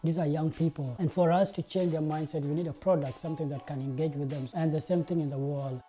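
An earnest young man speaks to the camera in a studio. His voice is very dark and mellow, and his talk is full of "uh" and "like". Quiet music plays under him. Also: on the high side, fairly even intonation, fast. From South Sudan. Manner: earnest, many "uh" and "like" fillers. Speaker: interviewee, game designer. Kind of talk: interview monologue to camera. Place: indoor studio with plain backdrop.

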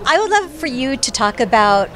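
A woman's voice: high voice, very animated, normal speed. A middle-aged woman hosting an interview, asking questions and affirming her guest, speaking into a handheld mic. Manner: supportive, affirming. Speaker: interviewer host. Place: event backdrop, crowded venue. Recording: crowd chatter behind.

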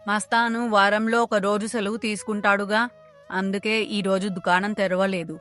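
A woman's voice: mid-range voice, level tone, moderate pace, a bit bright, close to an everyday voice. A woman voicing a familiar, matter-of-fact village auntie in a cartoon story. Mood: informative. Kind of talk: animated moral story dialogue. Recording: music under the voice.

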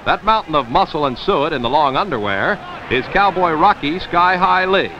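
A man's voice: fairly high pitch, lively intonation, normal speed. An American man reading scripted 1950s sports newsreel commentary in a wry, wisecracking way. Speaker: newsreel narrator. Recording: dull, noisy old newsreel film sound.

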